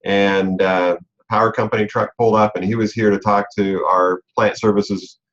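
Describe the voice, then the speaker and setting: A man's voice: on the low side, flat delivery, normal speed, fairly bright tone. An American man explaining rules informally and step by step, as a coach leading a conference call. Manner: conversational, explanatory, talks through rules step by step. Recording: dull, lo-fi conference call audio.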